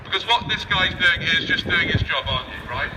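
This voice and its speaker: A man's voice: a little high, a little fast. A man giving an improvised, provocative street speech, cheekily firing rhetorical questions at passers-by through a megaphone. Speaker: street protester and speaker. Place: outdoors on a busy city street. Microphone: megaphone outdoors, picked up by a camera at a distance. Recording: distant megaphone speech, noisy windy street.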